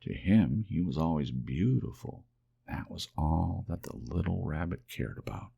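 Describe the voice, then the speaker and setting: A man narrates a children's storybook as an ASMR audiobook. His voice is deep and husky, with a strong creak. He tells it gently, in hushed storytelling fashion. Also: animated, measured pace, highs cut off.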